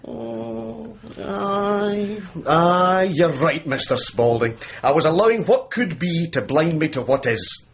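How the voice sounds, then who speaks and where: An actor playing an effusive, self-important Scottish official in a radio drama, theatrical and officious in manner. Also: male voice, high voice, animated, takes it slowly, gravelly, exaggerated voice. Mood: brisk, pompous. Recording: muffled radio play, crowd murmur behind.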